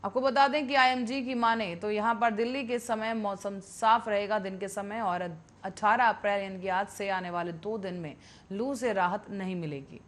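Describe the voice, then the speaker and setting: A woman's voice: mid-range voice, fairly even intonation, moderate pace. A woman reading scripted news as a television anchor.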